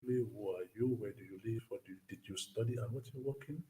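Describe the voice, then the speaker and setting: Male host, lowish voice, hesitant, far from the mic in an echoey space. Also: fairly quick, dark tone. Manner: hesitant, many fillers. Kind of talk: live interview. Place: outdoors near a bay.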